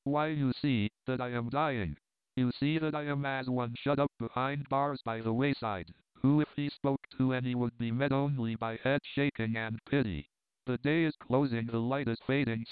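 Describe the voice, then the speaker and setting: A man voicing a frail, dying and fervent visionary who speaks quietly and measuredly, as if saving his strength. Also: mid-range voice, moderate pace, clean tone, dry recording, lightly acted. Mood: solemn. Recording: dry, tinny phone-like sound.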